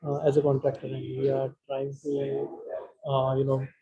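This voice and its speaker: A man's voice: mid-range voice, completely flat delivery, moderate pace. A man chatting casually, recorded with slightly dull, lo-fi sound. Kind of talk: casual chat.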